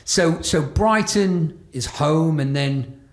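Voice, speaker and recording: A man's voice: fairly high pitch, slow pace. A man in his forties, raised in Scotland and England, hosting a podcast interview, telling rambling anecdotes with many restarts. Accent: faint Glaswegian, mostly lost, Stoke-on-Trent upbringing. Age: 40s. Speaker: podcast host. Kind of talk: casual conversational interview.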